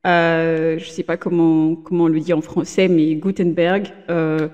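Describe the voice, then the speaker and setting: A woman's voice: low-pitched, flat delivery, unhurried, a little mellow, in a large hall, lo-fi sound. Hesitant woman in her thirties. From Benin. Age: 30s. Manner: hesitant, many fillers and restarts. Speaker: podcast guest. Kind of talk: casual interview chat. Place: room in a home in Germany.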